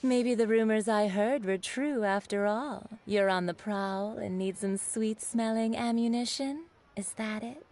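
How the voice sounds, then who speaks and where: Actress as flirtatious, teasing perfume maker. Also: female voice, fairly high pitch, a little slow, hardly any pauses, darkish tone, lightly acted. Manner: coy, playful, knowing. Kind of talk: video game voice acting. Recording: music behind the voice.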